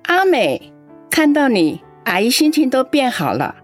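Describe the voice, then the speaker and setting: A woman's voice: high voice, very animated, measured pace, full character voice. An actress playing a doting, lonely elderly aunt in a radio drama, talking fondly and complaining about her absent children. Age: older. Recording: dry studio with soft background music.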